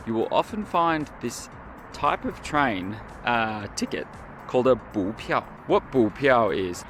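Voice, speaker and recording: An Australian man talking casually as a travel vlogger, with informal, laid-back phrasing and colloquial slang. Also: medium pitch. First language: English. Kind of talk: casual vlog talk to camera. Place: trains and busy stations while travelling. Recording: loud vehicle and outdoor station noise.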